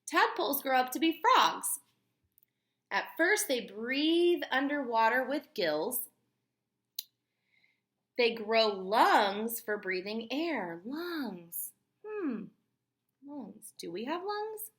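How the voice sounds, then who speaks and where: Woman teacher doing a kids read-aloud, bright clear-cut emphasis, explains stuff and asks questions, echoey room. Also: high voice. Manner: expressive, emphatic, didactic, pausing to question listeners. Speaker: teacher reading a book aloud to young children. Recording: roomy, echoey room sound.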